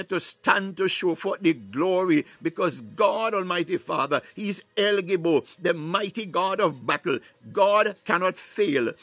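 High-pitched man praying fervently. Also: a bit bright, no echo. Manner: declamatory, punctuated with repeated devotional interjections. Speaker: prayer leader. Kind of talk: fervent extemporaneous prayer with preaching and scripture quotation.

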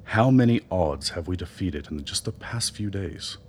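An actor in a radio drama playing an older doctor, a persuasive political mentor who speaks in measured, eloquent phrases. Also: male voice, sits low, expressive, a little mellow. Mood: earnest, coaxing. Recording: noticeable background noise.

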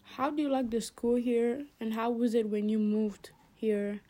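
A woman's voice: fairly high pitch, steady tone, a little slow, mellow. A teenage girl interviewing a fellow student for a school podcast, asking short, plain questions. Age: teens.

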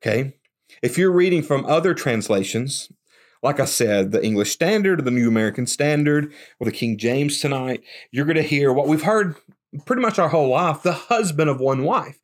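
A man's voice: fairly high pitch, lively intonation, moderate pace. A man preaching a conversational, emphatic sermon, often repeating words as he starts a thought. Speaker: pastor.

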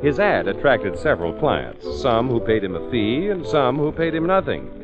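A man announces in showy 1940s radio style. His pitch is fairly high and very lively. Loud music plays behind him on a dull, lo-fi recording. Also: moderate pace. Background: loud musical instrument.

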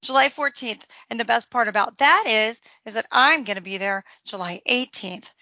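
Raspy upbeat woman podcast co-host. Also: a little high, moderate pace, pushed and bright, no echo, lo-fi sound. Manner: chatty, upbeat, jokes about herself. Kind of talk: casual chat.

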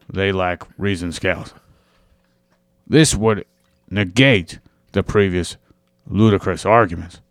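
Low-voiced guy on a podcast, rambling through philosophy, dry close sound. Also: dry recording. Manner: joking, then explaining at length. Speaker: podcast host. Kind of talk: casual philosophy discussion.

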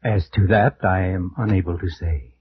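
Male actor as sombre evasive doctor, low voice, formal, deferential, old radio drama, dull recording. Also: steady tone, normal speed, natural voice. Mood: guarded. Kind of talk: 1940s-50s radio drama acting. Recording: muffled, faintly noisy old radio recording.

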